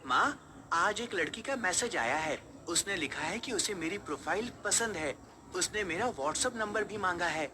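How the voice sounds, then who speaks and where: Casting: male actor as nervy young bachelor, high plaintive voice, horror cartoon, noisy recording. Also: animated, a little slow. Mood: excited. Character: short, unmarried young man, earnest and easily scared. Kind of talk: acted dialogue in a Hindi horror cartoon story.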